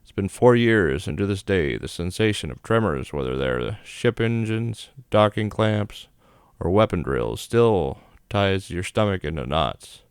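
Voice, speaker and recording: A man's voice: deep voice, animated, a little slow, smooth, no echo, studio quality. A man hosting a gaming video, narrating a playthrough in a casual, plainspoken way. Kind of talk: game playthrough commentary, reading on-screen story text. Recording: music behind the voice. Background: game music.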